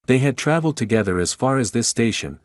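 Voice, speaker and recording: A man's voice: mid-range voice, expressive, fast talker, dry recording, studio quality. A man reading scripted storybook narration as the narrator.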